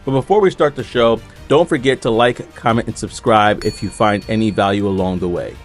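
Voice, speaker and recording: A man's voice: lowish voice, fairly quick, faint music behind. A male host opening an interview show with an upbeat, inviting presenter manner. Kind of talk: podcast intro and interview.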